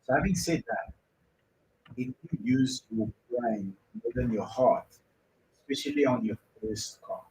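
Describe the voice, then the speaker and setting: A man's voice: medium pitch, a little mellow, a little hiss or hum. A man, originally from Bulgaria, hosting a car dealer's viewer Q&A and explaining answers step by step in casual advice talk. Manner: conversational, explains step by step with fillers. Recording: roomy echo with faint ambience.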